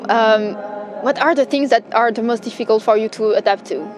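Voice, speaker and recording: A young woman from France, a native French speaker, interviewing in an eager, encouraging way with quick reactions. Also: on the high side. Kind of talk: casual interview. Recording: music playing underneath.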